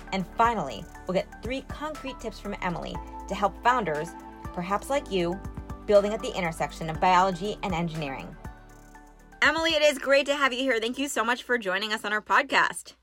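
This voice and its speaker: Female podcast host, medium pitch, presenting and interviewing over light background music. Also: animated, brisk. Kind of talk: scripted podcast introduction and interview.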